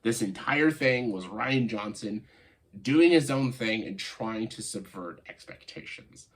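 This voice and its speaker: A man talks casually and with opinion, as if to viewers of his commentary video. His voice is on the low side and rough-edged, clogged and nasal from a cold. He moves haltingly through his points, stretching the words he wants to stress and peppering them with "like". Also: lively intonation. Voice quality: congested, nasal voice. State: congested, stuffed-up nose after a cold. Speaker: video host, film commentator.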